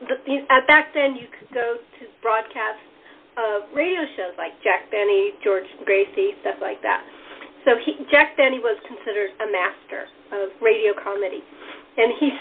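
A woman hosting a casual cast interview over a remote connection, chatting as the show's writer. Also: on the high side. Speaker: host and radio playwright. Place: at home on a remote call. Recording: noisy, muffled remote call audio.

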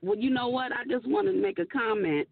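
A hoarse woman co-hosting a casual radio talk show, earnest and animated, laughing often. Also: a little high, a little fast. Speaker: co-host. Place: radio studio. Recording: tinny phone-like radio audio.

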